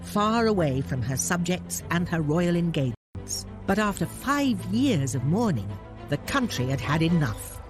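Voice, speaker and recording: A woman's voice: on the low side, sing-song, measured pace, low-quality recording. A woman delivering scripted documentary narration. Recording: music clearly behind the voice.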